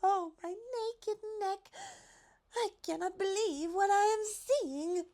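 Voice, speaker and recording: Woman voicing a high, polite, excitable lady giraffe. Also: takes it slowly, full character voice. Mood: overjoyed, astonished. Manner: gushing, exclaiming.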